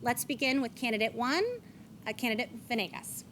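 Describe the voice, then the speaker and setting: Woman running a candidates forum, fairly high voice, a little rumble behind. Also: steady tone, brisk, a bit bright. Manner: scripted, reads statements and rules aloud. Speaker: forum moderator. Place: event stage.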